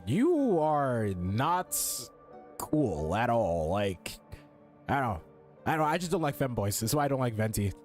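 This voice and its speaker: A man's voice: mid-range voice, sing-song, a little slow. A young male gaming streamer with a mid-range voice gives casual commentary. He is blunt and slangy, swears and often repeats himself. Game music plays faintly behind him.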